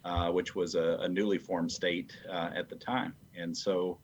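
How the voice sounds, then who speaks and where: Low-voiced American man, measured and reflective. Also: flat delivery, a little hiss or hum. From rural south Texas. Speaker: panel guest. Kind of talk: reflective family storytelling. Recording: slightly dull, lo-fi audio.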